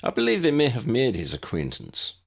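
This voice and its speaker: A friendly young Irish wizard as acted out by a male narrator chats in a lilting accent for a storybook. The voice is husky and swings up and down with great animation. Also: medium pitch, huge pitch swings, brisk, pitched above the performer's own voice, heavily acted. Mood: friendly, candid.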